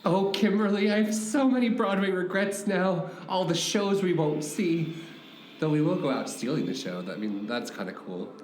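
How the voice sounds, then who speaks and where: A man playing a goofy, loyal, slow-witted sidekick in a comic radio play, with playful melodrama. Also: high-pitched, lively intonation, a little hiss or hum. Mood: melodramatic, dim. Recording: roomy echo.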